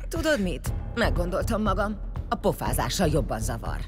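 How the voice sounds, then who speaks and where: A woman's voice: on the low side, big pitch swings, darkish tone, in a large hall, soft background music. An actress playing a vengeful, sardonic woman warrior leader in an audio drama, mocking and commanding. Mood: gloating, commanding. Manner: mocking, commanding, foul-mouthed.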